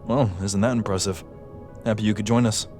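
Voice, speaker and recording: A low-voiced space marine sergeant, acted by a man in a sci-fi audio drama. He is commanding, dry and defiant. Music plays behind him. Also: fairly even intonation, fairly quick, darkish tone, natural voice. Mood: wry.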